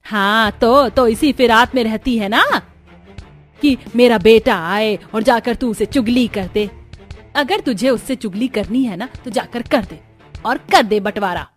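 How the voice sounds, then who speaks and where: A woman's voice: fairly high pitch, a little slow, soft background music, natural voice. A woman voicing a bitter, greedy older mother-in-law in a cartoon, sarcastic and nagging. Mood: scornful, angry.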